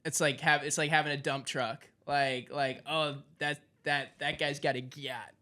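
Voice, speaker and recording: A man's voice: fairly high pitch, little pitch movement, a little slow, sharp tone. A young man hosting an interview, chatting casually and explaining things as he goes. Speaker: interviewer, show host. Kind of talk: casual chat, explaining slang.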